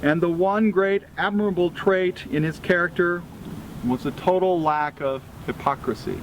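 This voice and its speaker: American male, somewhat higher-pitched, formal memorial reader, measured and solemn, recorded outdoors with clear background noise. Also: poor audio. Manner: deliberate, solemn, phrase-by-phrase delivery. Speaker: freemason reader at a memorial event. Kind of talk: formal read-aloud obituary tribute. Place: outdoors at a cemetery event.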